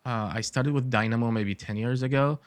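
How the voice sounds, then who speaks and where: A man's voice: mid-range voice, fairly even intonation, fairly quick, no room sound. A man from Iraq, an architect in his forties, chatting as a podcast guest, with many fillers and dry humor. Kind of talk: casual interview talk.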